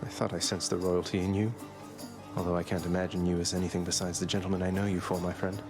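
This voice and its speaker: A wry, friendly young underworld prince in a video game. His low voice stays dry and courteous. Loud game music plays behind him. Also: level tone, fast. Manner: dry, good-humored and polite.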